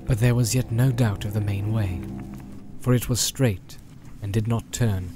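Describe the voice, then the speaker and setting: A man narrating a fantasy audiobook in a measured, solemn, dramatic way, drawing out his phrases. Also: lowish voice, fairly even intonation. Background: music.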